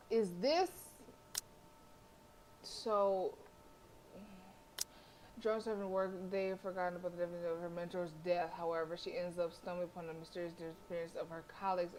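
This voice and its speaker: A woman's voice: lowish voice, a little fast, a little mellow, poor audio. A woman livestreamer reading a visual novel aloud dramatically, with casual asides and stumbles she corrects as she goes.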